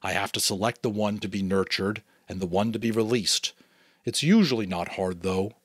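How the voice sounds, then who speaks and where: A man voicing a calm father who speaks in a matter-of-fact way. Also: fairly low pitch, normal speed, slightly rough, dry recording.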